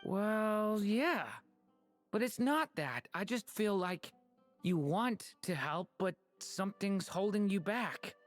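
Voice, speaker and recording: A woman voicing an earnest, gentle youthful video game character, sincere and thoughtful, with a teasing frankness. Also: medium pitch, lively intonation, slow, faint music behind. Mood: earnest, concerned.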